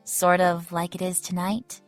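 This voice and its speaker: A woman's voice: fairly low pitch, flat delivery, unhurried, no echo, soft background music, natural voice. A woman playing a sardonic, jumpy young girlfriend in an audio horror drama, bossy and nervy. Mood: skeptical.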